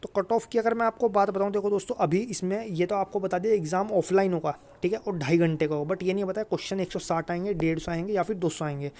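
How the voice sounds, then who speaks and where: A man's voice: sits high, fairly even intonation, quick pace, few pauses, darkish tone. Man giving informal exam advice. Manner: repetitive, emphatic assurances, frequent check-in tags. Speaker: exam guidance video host. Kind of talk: informal advice talk to students.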